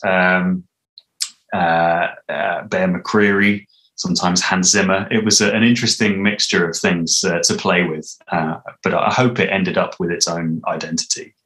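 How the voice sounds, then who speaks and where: A man in his thirties, talking thoughtfully and haltingly as an interview guest on a video call. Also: sits low, fairly bright tone. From United Kingdom. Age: 30s. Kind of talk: casual interview chat. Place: living room, indoors. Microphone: video call, computer mic at arm's length. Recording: echoey room.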